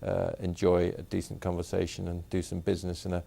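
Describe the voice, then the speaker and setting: A man's voice: deep voice, completely flat delivery, brisk, vocal fry, dark tone, a little hiss or hum. A man talking in an interview about hosting business guests, hesitant with restarts. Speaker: club business host.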